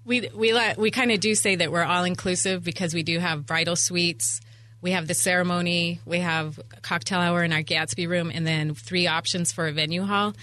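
Bright-voiced middle-aged woman, chatty interview guest. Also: on the low side, steady tone, moderate pace, no echo. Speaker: interview guest, event venue owner. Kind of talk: chatty radio interview answers. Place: radio studio. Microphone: studio microphone on boom arm.

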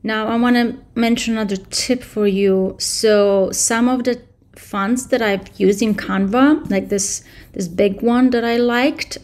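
Woman walking through a software tutorial. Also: medium pitch. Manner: relaxed step-by-step explaining with fillers. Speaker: tutorial presenter. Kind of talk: casual screen-share tutorial. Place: indoors at a computer.

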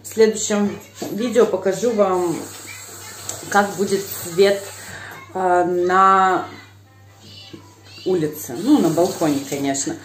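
A woman's voice: lowish voice, fairly even intonation, takes it slowly, pauses often, reverberant, faint music behind. A woman in her thirties chatting to her viewers in a casual home vlog, filmed on a phone held at arm's length. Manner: chatty, addresses viewers directly. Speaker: vlogger. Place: small room indoors at night.

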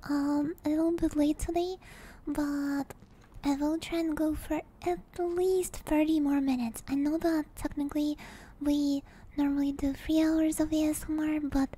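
A woman hosting an ASMR livestream, speaking softly and soothingly in a gentle, deliberate manner close to a binaural microphone. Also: high-pitched. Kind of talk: ASMR, soft whispered personal attention. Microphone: binaural ASMR microphone at close range.

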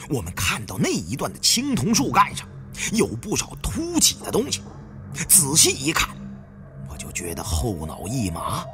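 A man narrating a first-person adventure audiobook in a dramatic, suspenseful, theatrical manner. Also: mid-range voice. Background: faint background music.

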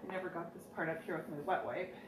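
A woman in her thirties hosting a casual live furniture painting demo, chatty and quick to laugh as she jokes with viewers. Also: on the low side, noisy background. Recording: echoey room, mic at a distance.

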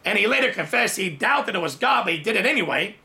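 Animated, bright-voiced preacher man telling his story. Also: sits high, fast talker. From Thailand. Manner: animated storytelling with big hand gestures. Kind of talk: sermon testimony. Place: home study with bookshelves, indoors.

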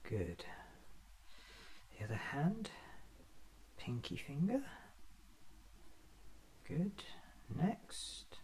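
A man's voice: on the high side, wildly swooping pitch, extremely slow, long pauses, a little mellow, slight background noise. A man performing a whispered ASMR roleplay, murmuring methodically to himself as an eccentric doctor examining his own body.

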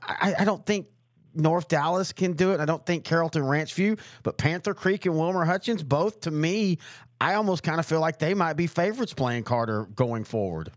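A man's voice: fairly high pitch, moderate pace, no room sound, studio quality. A man co-hosting a sports show, casually breaking down games with emphatic repetition. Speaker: sports show co-host and analyst.

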